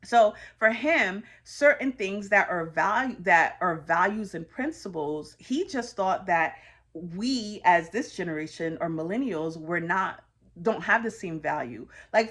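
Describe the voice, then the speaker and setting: A woman's voice: mid-range voice, expressive, unhurried, fairly bright tone. A woman in her thirties from the United States, talking through personal reflections in an earnest, conversational way with frequent fillers. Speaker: host sharing personal reflections.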